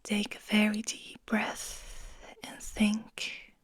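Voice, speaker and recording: A woman whispering breathily in a slow, soothing guided ASMR style, lingering on her words. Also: medium pitch, monotone, slightly rough. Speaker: ASMR host.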